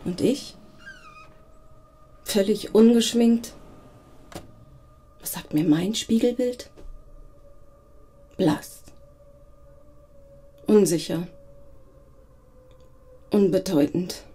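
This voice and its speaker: A self-critical woman in a radio drama played by an actress. She speaks very slowly, in a dark, husky voice. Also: medium pitch. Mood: self-doubting, bitter. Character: insecure, self-critical woman hungry for thrills. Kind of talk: German radio drama acting, monologue.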